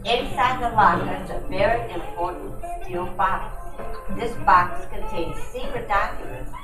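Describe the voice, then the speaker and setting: A woman's voice: mid-range voice, unhurried, natural voice. A woman playing a sly femme fatale, echoey room. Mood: earnest, secretive. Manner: flirtatious, coaxing, sly. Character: coy, seductive femme fatale client with secrets. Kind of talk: old-time radio detective drama acting. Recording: roomy, distant, music behind.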